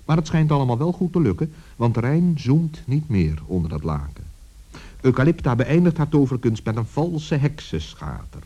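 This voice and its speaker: A man narrating a scripted children's story as a storyteller, with a husky, dark voice. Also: mid-range voice, big pitch swings, moderate pace. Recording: dull lo-fi old recording.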